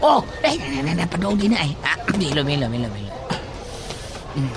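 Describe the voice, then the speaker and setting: A performer voicing a loud, bossy, excitable student in an audio drama, with a Filipino accent and exclaiming, teasing, drawn-out delivery. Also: mid-range voice, lightly acted. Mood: amused. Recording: background music and crowd noise.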